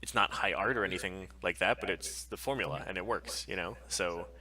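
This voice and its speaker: A man's voice: deep voice, fairly quick, bright, forceful tone, poor audio. A man co-hosting a podcast live stream, chatting casually and bluntly with opinions and plenty of swearing.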